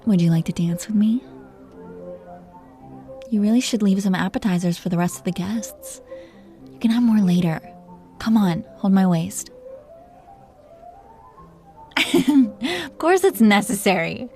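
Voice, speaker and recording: Dragon queen conqueror, played by a woman: flirtatious, possessive, commanding, mid-pitched, intimate roleplay audio with music underneath. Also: expressive, a little slow, long pauses, darkish tone. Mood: playful, commanding. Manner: teasing, commanding, flirtatious with pet names.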